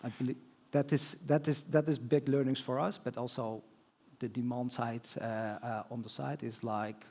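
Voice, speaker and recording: A man's voice: medium pitch, fairly even intonation, moderate pace, hardly any pauses, a little mellow. A man speaking as a guest on a conference stage panel, answering with frequent fillers, restarts and non-native phrasing. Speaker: telecom executive guest on panel.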